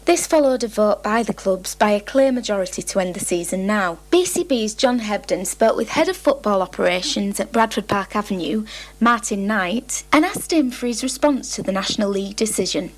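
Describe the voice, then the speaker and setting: A woman's voice: medium pitch, lively intonation, moderate pace, poor audio. A woman presenting on radio, reading a scripted news-style link into and out of an interview.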